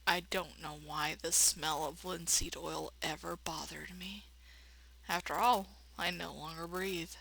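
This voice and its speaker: A woman's voice: on the low side, fairly even intonation, unhurried, creaky, sharp tone, husky, rough recording. A woman reading a game dramatically and adding casual, slangy, irreverent commentary as a let's play host.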